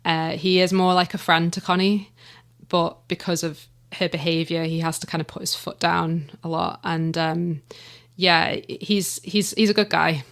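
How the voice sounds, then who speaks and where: A young woman with a slightly low voice talks eagerly as a guest on a radio chat. She scatters "like" and "um" through her speech as she explains things. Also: level tone, unhurried, vocal fry, a bit bright. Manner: eager, frequent "like" and "um" fillers. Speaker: audio drama writer and guest. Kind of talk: casual interview chat.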